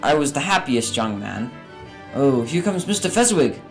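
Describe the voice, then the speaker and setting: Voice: medium pitch, big pitch swings, moderate pace. An old miser in a radio play, acted out by a performer, full of regret and feeling. The delivery pleads and exclaims, with music playing underneath. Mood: fond, nostalgic. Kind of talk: radio drama acting.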